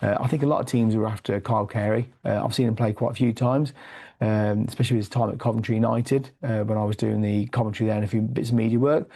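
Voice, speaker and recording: Hoarse gravelly man. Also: fairly low pitch, steady tone, fast talker, dark tone. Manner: many "uh" fillers and restarts. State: losing his voice from a cold. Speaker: football league reviewer and commentator. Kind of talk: weekly football review, read from notes.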